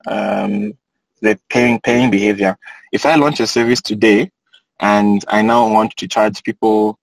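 A man in his thirties, a panelist on an online video call from inside a car, talking in a relaxed, thoughtful way with many fillers. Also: on the low side, a little slow, creaky, dry recording, poor audio. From Kenya.

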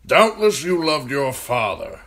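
A man voicing a gruff older military commander in an audiobook, talking bluntly and firing off needling questions. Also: a little high, sing-song, a little slow, fairly bright tone, voice pushed up. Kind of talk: audiobook character voice.

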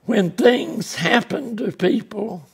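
A man's voice: sits high, takes it slowly, breathy edge. An older man preaching a folksy, plainspoken sermon from the pulpit of a small church, speaking through a lapel mic. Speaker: pastor.

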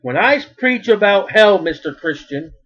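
Loud high-voiced man preaching. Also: expressive, unhurried, dull audio. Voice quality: big loud voice. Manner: loud, emphatic preaching that swells in volume. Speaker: street preacher. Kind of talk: sermon.

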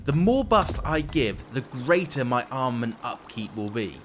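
A man presenting a strategy video game in an expressive, scripted storytelling narration. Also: medium pitch, moderate pace, background noise. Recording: game music behind the voice. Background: video game music.

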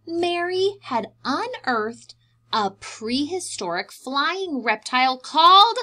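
A woman's voice: high-pitched, big pitch swings, slow, pushed and bright. A young adult woman reading a picture book aloud as a preschool teacher, explaining things simply to young children.

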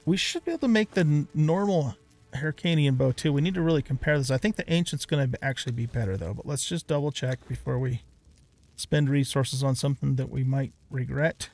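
A man's voice: medium pitch, fairly quick, darkish tone, soft background music. A middle-aged man giving casual let's play commentary, unhurriedly thinking aloud step by step.

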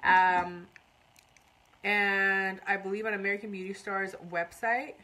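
A woman's voice: mid-range voice, little pitch movement, a little slow, pushed and bright, poor audio. A woman in her thirties from Iran, chatting casually on a live makeup tutorial and reading out viewer comments while she works. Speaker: makeup artist. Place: small indoor room before a curtain.

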